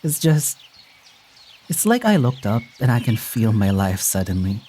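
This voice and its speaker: Warm young traveler for an audio drama, teasing and sincere, slow delivery with a wildly swinging pitch. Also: sing-song, a little hiss or hum. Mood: moved. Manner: playful, laughs often, unhurried.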